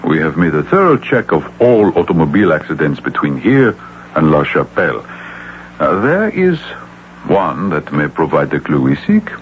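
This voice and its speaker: A courtly police officer in a radio mystery. His very low voice moves expressively, through dull old broadcast sound. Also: big pitch swings, moderate pace. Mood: grave, careful. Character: courtly, measured male French police lieutenant. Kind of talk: old-time radio drama acting. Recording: muffled, lightly noisy radio broadcast tape.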